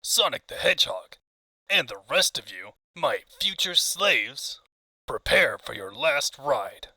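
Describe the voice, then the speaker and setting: Guy doing a boastful cartoon mad scientist villain, menacing and hammy, pitch swings around, dry recording. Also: big pitch swings, slow, bright, forceful tone, no echo, full character voice, poor audio. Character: pompous, gloating evil male mad scientist tyrant. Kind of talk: comic book fandub acting.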